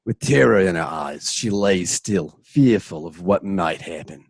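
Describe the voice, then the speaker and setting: Low, husky male radio-play narrator. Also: animated, measured pace, dry recording. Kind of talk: scripted narration of an amateur radio drama, reading stage directions.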